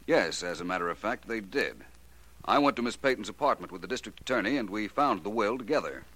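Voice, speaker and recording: A suave gentleman detective from an old radio mystery. His voice is low yet bright and projected, with widely swinging intonation. He is courteous, urbane and dryly sure of himself. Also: expressive, fast talker, rough recording. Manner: polite, urbane, dryly knowing. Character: suave, unflappable male amateur detective. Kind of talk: 1940s radio drama acting.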